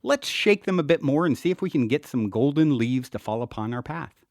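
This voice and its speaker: A man's voice: mid-range voice, lively intonation, fairly quick, darkish tone, dry recording, studio quality. A man reading his own book aloud as scripted narration, in an earnest, emphatic manner.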